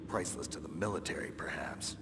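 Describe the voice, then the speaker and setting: An actor playing a gruff, world-weary American special-ops soldier in a video game, terse and sardonic with a gravelly voice. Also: male voice, very deep voice, quick pace, in a whisper, heavily acted. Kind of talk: dramatic video game voice acting. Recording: noisy, long reverberant hall sound.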